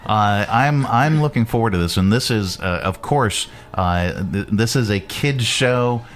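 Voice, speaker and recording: A man hosting a casual interview, easygoing and quick to laugh. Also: fairly low pitch, animated. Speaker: radio show host.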